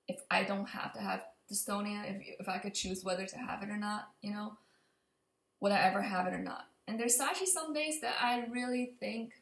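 A young woman with a slightly raspy voice from allergies gives a casual, unscripted update to camera in a hesitant, rambling way. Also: mid-range voice, fairly bright tone. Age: young adult. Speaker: vlogger. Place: indoor open kitchen and living room. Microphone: computer mic at desk distance. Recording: echoey room, computer mic.

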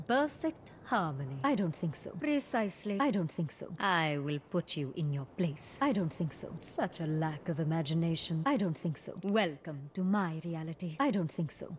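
Actress as haughty video game heroine, lowish pitch, exacting, composed, condescending, clipped one-liners. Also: female voice, a little hiss or hum, close to an everyday voice. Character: poised, haughty, exacting female architect hero.

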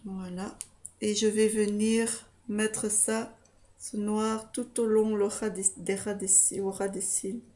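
Slow, hesitant woman with a stuffy nose. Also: medium pitch, flat delivery. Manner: soft, hesitant, talks while applying makeup. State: slightly stuffy nose from a cold or allergy. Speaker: makeup tutorial host. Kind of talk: step-by-step makeup tutorial. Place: small room indoors. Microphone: webcam close up.

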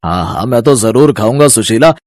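A man voicing a vain, easily flattered older father-in-law in a cartoon, gleeful and self-pleased. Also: mid-range voice, normal speed, no room sound, lightly acted.

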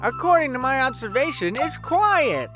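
A woman's voice: high-pitched, very animated, moderate pace, music in the background. A woman voicing a fussy, grumbling rabbit in a children's cartoon, speaking emphatically. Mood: intent. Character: fussy rabbit seeking absolute quiet for a friend.

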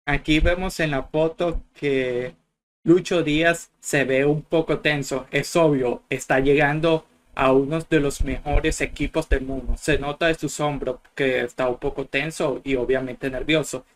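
A man's voice: on the high side, fairly even intonation, unhurried, a little hiss or hum. A young man from Colombia hosting a football channel, commenting casually on clips from a small room at his desk. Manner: chatty, rambling with restarts.

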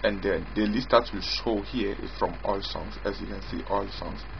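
A man giving an informal screen-recorded software demo as its developer, in a halting way, often repeating and rephrasing. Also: on the low side, vocal fry, slight background noise. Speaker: software developer demonstrating own app. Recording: dull lo-fi mic with prominent music.

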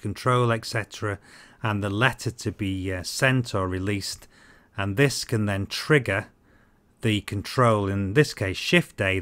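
A man in his fifties explaining software in an informal tutorial, hesitant and halting, with frequent ums. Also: fairly low pitch. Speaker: presenter of a flight simulator tutorial series.

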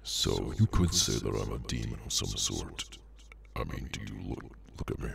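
A man voicing a weary, menacing demonic henchman in an ASMR audio roleplay, sardonic and low-key, with a gravelly voice. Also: sing-song, full character voice. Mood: calm menace. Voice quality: very deep, gravelly, creaky. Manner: slow, low-key, casually sinister, sardonic.

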